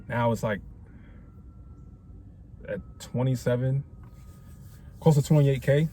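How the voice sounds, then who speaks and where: A man's voice: mid-range voice, dark tone, a little hiss or hum. A man in his twenties talking casually to camera in a rambling, reflective way, full of slang and fillers. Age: 20s. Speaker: vlogger. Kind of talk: casual talking-head vlog.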